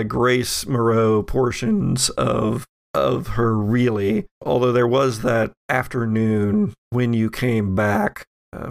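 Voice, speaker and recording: A man running a tabletop game as game master, narrating in a halting, deliberate way. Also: mid-range voice, gravelly.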